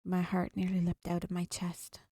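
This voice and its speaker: A woman reading a visual novel aloud for a gameplay video, expressive and dramatic, drawing out her delivery. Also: fairly low pitch, normal speed. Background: game music.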